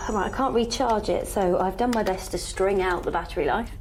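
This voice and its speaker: An actress with a British accent playing an anxious, resourceful woman surviving an alien invasion, speaking in an urgent, halting, pragmatic way in an audio drama. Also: mid-range voice, darkish tone, a little hiss or hum, lightly acted. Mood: tense, urgent. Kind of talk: naturalistic audio drama acting.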